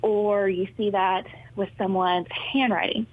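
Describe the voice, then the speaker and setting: A woman speech therapist explaining clearly and patiently to interviewers in a friendly, informative way. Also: mid-range voice, steady tone, a little slow, slight background noise. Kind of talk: explaining in a talk show interview. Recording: thin phone-line sound, dry.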